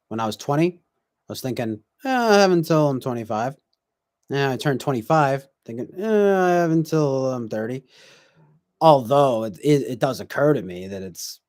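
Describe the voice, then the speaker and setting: Twenty-something guy streaming, thinking out loud, lots of "you know" and "like", dry mic, no room echo. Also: mid-range voice. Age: 20s. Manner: reflective, rambling, full of fillers and restarts; reads viewer comments aloud. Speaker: livestream host. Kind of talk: casual rambling chat.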